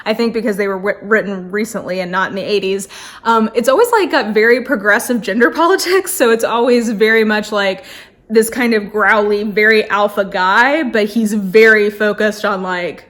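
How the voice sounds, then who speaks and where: A woman's voice: mid-range voice, moderate pace, fairly bright tone. A woman chatting casually and effusively as a book vlogger, with an informal delivery full of "like".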